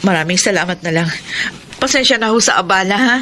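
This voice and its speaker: Radio drama wife and mother, middle-aged, meek, hurting, mid-pitched; noisy track. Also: expressive, fairly quick, pushed and bright. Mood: timid, wistful. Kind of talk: Filipino radio drama acting. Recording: noisy radio drama, sound effects behind.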